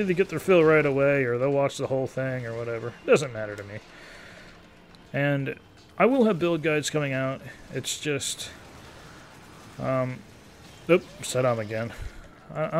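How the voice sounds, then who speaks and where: A man's voice: mid-range voice, a little hiss or hum. A man in his thirties giving casual, rambling gameplay commentary as a YouTuber, in an easygoing, take-it-or-leave-it manner. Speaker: gaming YouTuber.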